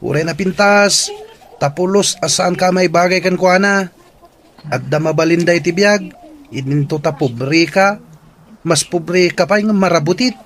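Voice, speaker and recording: A man's voice: sits high, unhurried, slight background noise. A young man with an Ilocano Filipino accent acting a cocky, mocking student and rival suitor in a radio comedy-drama.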